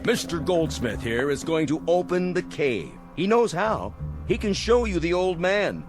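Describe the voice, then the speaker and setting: An actor playing a ruthless, commanding armed stranger who incites starving villagers in oratorical, taunting 1960s television drama style. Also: male voice, a little high, very animated, a little slow. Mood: commanding, mocking. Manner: domineering, taunting, oratorical, barking orders. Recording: old television soundtrack with loud music.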